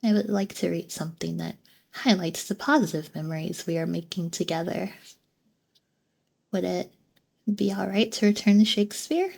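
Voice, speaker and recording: A woman voicing a gentle, curious female android in an ASMR roleplay, soft-spoken and formal, with hesitant pauses. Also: on the low side, gravelly, breathy edge. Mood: shy, hopeful. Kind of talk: ASMR roleplay, scripted monologue.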